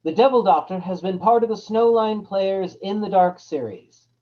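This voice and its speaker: A man's voice: high voice, animated, moderate pace. A man announcing scripted credits in old time radio style, on a dull recording.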